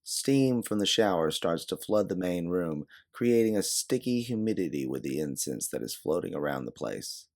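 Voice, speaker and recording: A man's voice: low voice, animated, moderate pace, creaky, few pauses, a little mellow. A man reading a scripted second-person story in a dramatic narrating style. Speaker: narrator of an original audio story.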